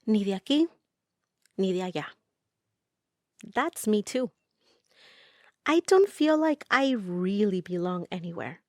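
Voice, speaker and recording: A woman's voice: mid-range voice, expressive, slow pace, pauses often, a little mellow, dry recording, studio quality. A woman from the United States narrating a documentary about belonging, in an earnest, reflective way.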